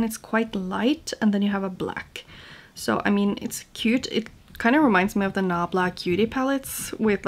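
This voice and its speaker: A young woman from Norway with a Norwegian accent, chatting casually and informally to camera as a beauty vlogger. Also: medium pitch. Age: young adult. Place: small home room indoors.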